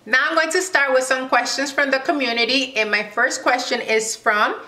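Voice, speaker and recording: A woman's voice: sits high, fairly even intonation, moderate pace, pushed and bright. A woman in her thirties from Panama with a Spanish accent, chatting to camera as host of her own Q and A vlog, laughing often and filling gaps with "um" and "you know". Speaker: vlogger host. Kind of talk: casual Q and A chat to camera.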